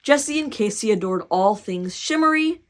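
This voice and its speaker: A woman reads a picture book aloud for children. Her delivery is very animated and dramatic, with pitch that swings widely and enthusiastic asides to the listener. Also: moderate pace, a little hiss or hum. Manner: animated, dramatic, cheering reader. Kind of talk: expressive children's storybook reading with excited asides.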